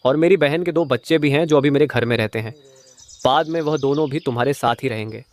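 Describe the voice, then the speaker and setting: A man's voice: mid-range voice, fairly quick, lightly acted. A man voicing a menacing crow thug, a boastful and protective brother in a moral-story cartoon. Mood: boastful, threatening. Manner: boastful, threatening.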